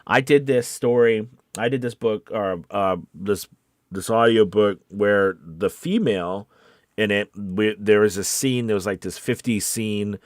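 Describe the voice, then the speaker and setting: A man with a fairly low voice coaches aspiring voice actors. He talks in an informal, encouraging and meandering way, as if thinking aloud. The sound is dry, with very little room. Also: unhurried, no echo. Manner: encouraging, conversational, rambling. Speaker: voice-over coach and host. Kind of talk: informal live coaching session.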